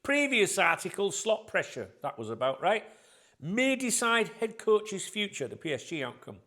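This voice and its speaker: A man, hosting his channel and chatting casually through articles, congested with a cold, swearing freely and getting louder when excited. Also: high-pitched, moderate pace. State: bunged up with a cold. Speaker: channel host. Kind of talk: casual video commentary reading articles.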